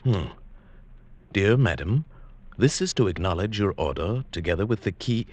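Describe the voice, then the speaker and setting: A man's voice: fairly low pitch, lively intonation, moderate pace, darkish tone. A man acting as a smug, fussy, scheming professor in vintage radio suspense, speaking formally and pedantically. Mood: dread, measured. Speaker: actor and first-person narrator in a radio play. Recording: vintage radio recording with music.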